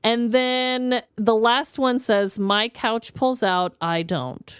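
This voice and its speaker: A woman's voice: fairly high pitch, takes it slowly, clear, resonant tone, no room sound, studio quality. An American woman co-hosting a podcast, telling stories in a casual, comedic, emphatic and informal way. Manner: animated, informal storytelling with emphatic exaggeration. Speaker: podcast co-host. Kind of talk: casual comedic true-crime chat.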